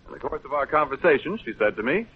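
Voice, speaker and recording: Male radio announcer: polished sales pitch, lively inflection, slightly high voice, thin 1930s broadcast sound. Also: fast, slightly rough, narrow, tinny audio.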